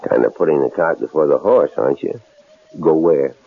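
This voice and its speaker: An actor playing a sly, gloating, menacing captor in a 1970s radio drama, sardonic and taunting, on a muffled, noisy recording. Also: male voice, a bass voice, gravelly, husky, full character voice. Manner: sardonic, taunting, drawn-out needling.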